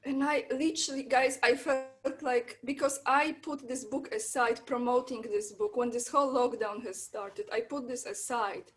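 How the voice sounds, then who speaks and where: A woman's voice: on the high side, fairly even intonation, moderate pace, hardly any pauses. A woman from Poland with a Polish accent, a guest in a casual interview, telling her stories in an eager, rambling way.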